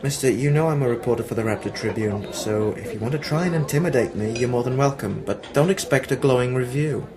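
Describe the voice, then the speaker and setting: A man's voice: medium pitch, fast talker, few pauses, crowd noise, lightly acted. A man playing a sarcastic, defiant newspaper reporter from the 1940s in an amateur radio play, dry and sardonic.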